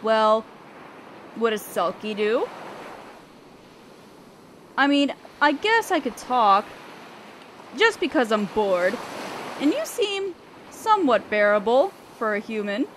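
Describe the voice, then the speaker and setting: A woman's voice: a little high, big pitch swings, slow, pauses often, a bit bright, full character voice. A woman playing a guarded young female selkie in a roleplay audio drama, aloof and hesitant yet curious as she talks to the listener. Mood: aloof, reluctant. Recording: ocean waves and wind behind voice. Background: surf, waves and wind sound effects.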